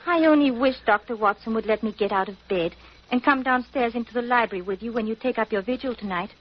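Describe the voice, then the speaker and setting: High-voiced, spirited young woman, acted. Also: fast, lightly acted. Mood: indignant. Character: spirited, indignant young English daughter. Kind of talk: old-time radio drama acting. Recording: dull, muffled old radio recording.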